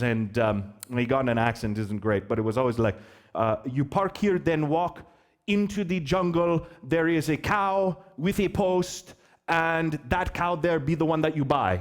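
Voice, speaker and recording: A man preaching a sermon as pastor, telling a story in an animated, joking way and asking the children questions. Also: on the high side. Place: church hall.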